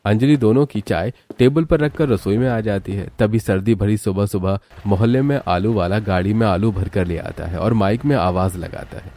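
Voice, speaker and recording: A man's voice: lowish voice, moderate pace, few pauses, mellow. A man narrating a scripted cartoon story as its storyteller.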